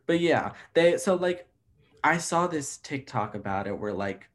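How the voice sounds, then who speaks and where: A man's voice: on the high side, lively intonation, a little slow, a bit bright. A man of twenty in casual chat as a podcast co-host, talking loosely with many fillers and laughing easily. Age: 20s. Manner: chatty, heavy use of "like", laughs easily.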